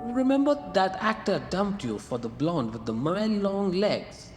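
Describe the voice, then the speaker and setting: A man playing a calm, gently teasing male muse in a radio drama. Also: sits high, moderate pace, soft background music. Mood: wry. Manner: wry, calm, coaxing. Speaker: the writer's muse. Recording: long reverb, faint noise.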